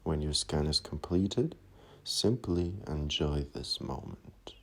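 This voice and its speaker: Very deep, slow male meditation guide. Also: vocal fry, breathy edge. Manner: soft, calming, unhurried instruction.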